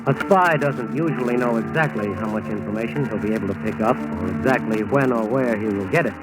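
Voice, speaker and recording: An American man giving measured, dramatic scripted narration in the style of 1940s radio. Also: on the low side, over loud music, poor audio. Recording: old 1940s radio broadcast recording.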